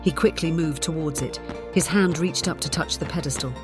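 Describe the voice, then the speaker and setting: A woman narrates fiction in a dramatic audiobook style. Her voice sits somewhat low. Music plays clearly beneath the narration. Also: a little fast.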